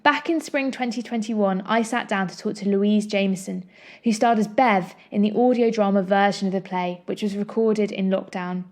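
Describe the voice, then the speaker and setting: A woman hosts an arts podcast. She speaks at a middling pitch, in the manner of someone presenting and interviewing. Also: steady tone, moderate pace, darkish tone. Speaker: podcast host and interviewer, playwright. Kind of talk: scripted introduction, then conversational interview.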